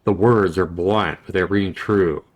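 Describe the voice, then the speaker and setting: A man reading a visual novel game aloud as narrator, deliberate and storytelling, with casual asides. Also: low-pitched, steady tone. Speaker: video game reviewer and narrator.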